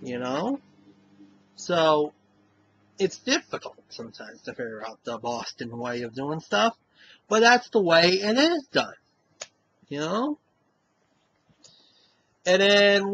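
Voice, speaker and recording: A man's voice: on the high side, pushed and bright, low-quality recording. A man with a Western Massachusetts accent, talking casually to camera in a rambling way, often tacking on "you know?". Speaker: vlogger.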